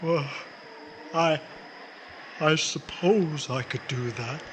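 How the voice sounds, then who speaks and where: A slow-spoken, mournful Death, acted by a man, courteous and formal, hesitating as he talks. The voice is slightly high, in a faintly noisy radio drama. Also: a bit bright. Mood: courteous, glum. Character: weary, courteous, formal, melancholy male personification of Death. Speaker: radio actor. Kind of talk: comic old-time-style radio drama.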